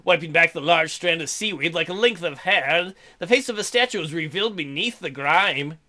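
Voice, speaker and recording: A man's voice: a little high, animated, moderate pace, bright, forceful tone. A man hosting a let's play, reading a visual novel aloud and breaking in with irreverent, playful, mocking asides.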